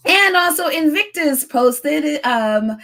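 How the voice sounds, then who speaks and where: A woman's voice: sits high, animated, takes it slowly, creaky, fairly bright tone. Chatty woman hosting a live stream. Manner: chatty and effusive, with frequent laughs and fillers. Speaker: host of a royal news live stream. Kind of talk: casual live-stream chat, reading viewer comments.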